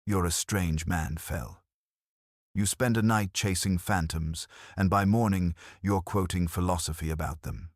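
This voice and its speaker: A man doing a gruff, wry police inspector. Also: low-pitched, steady tone, measured pace, dry recording, studio quality.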